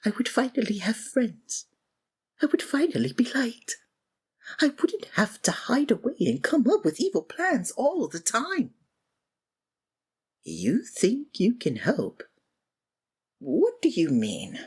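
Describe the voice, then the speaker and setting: A woman performing a scripted villain roleplay, breathy and theatrical, exasperated and swinging abruptly between registers. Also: a little high, huge pitch swings, takes it slowly, pauses often, a little mellow. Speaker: ASMR voice actor playing a villain.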